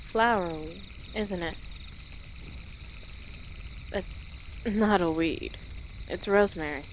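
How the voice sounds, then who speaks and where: A woman acts out a rugged but friendly orc woman who guides travelers, in an intimate audio roleplay. Her voice sits a little low and she takes her time, chatting gently with pauses. Clear outdoor ambience with birdsong runs behind her. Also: slight background noise. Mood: gentle instructing. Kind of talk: audio roleplay, speaking to the listener. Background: birds and rural outdoor ambience.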